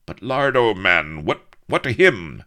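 A man's voice: sits high, huge pitch swings, slow, a bit bright, pitched above the performer's own voice, exaggerated voice. A man voicing a gallant, melodramatic pirate hero in a comic audiobook, florid and declamatory. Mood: aghast. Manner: florid, declamatory, archaic speech.

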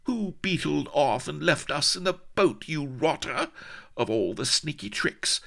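A man's voice: mid-range voice, big pitch swings, measured pace, vocal fry, a bit bright, pitched above the performer's own voice, full character voice. A man voicing a vain, haughty young woman in a comic adventure story, mixing mock-archaic speech with schoolgirl slang. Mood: indignant, haughty.

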